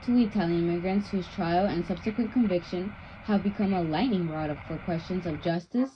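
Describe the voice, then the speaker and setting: A woman's voice: on the low side, a little fast, a little hiss or hum. A young woman hosting a scripted 1920s-style radio news bulletin, with a formal, theatrical announcer delivery. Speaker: radio show host and news reader. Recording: muffled lo-fi audio with music behind. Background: music.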